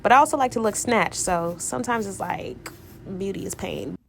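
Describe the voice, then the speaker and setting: A young woman with a stuffy, cold-thickened voice, doing a relaxed, casual voiceover. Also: mid-range voice, moderate pace, gravelly, slight background noise. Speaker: beauty vlogger.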